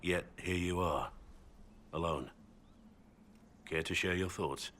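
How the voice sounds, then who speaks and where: Brooding middle-aged warrior for a fantasy game, very low-pitched, earnest, measured, some noise behind. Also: male voice, a bit bright. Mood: gentle. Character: brooding middle-aged bearded male warrior, solemn and devoted. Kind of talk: video game voice acting.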